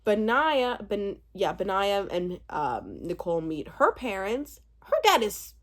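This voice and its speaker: A woman in her twenties talks in a very lively, swinging voice at a middling pitch. She gives a casual, chatty recap as a host, rambling along and often dropping a thought halfway to start another. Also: takes it slowly. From born in Uganda, spent part of childhood there. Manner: animated, rambling, breaking off mid-thought. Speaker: YouTube host reviewing a reality show.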